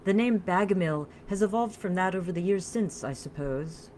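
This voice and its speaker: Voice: mid-range voice, moderate pace, a little mellow, slight background noise, pitched above the performer's own voice, lightly acted. A narrator voicing an earnest, solemn female vampire in a story, with a flowing, formal French accent. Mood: solemn, reminiscing.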